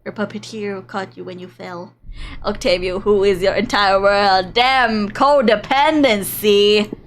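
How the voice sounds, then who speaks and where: A woman's voice: medium pitch, steady tone, a little slow, a little hiss or hum. A woman streamer reading a visual novel aloud in a playful, dramatic way, breaking off for casual asides. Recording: music behind the voice. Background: game background music.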